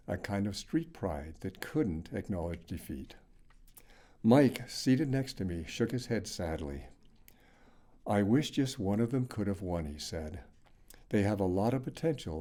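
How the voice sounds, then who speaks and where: A man reading a sentimental story aloud as scripted narration, in a measured, expressive storytelling manner. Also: lowish voice. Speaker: story reader.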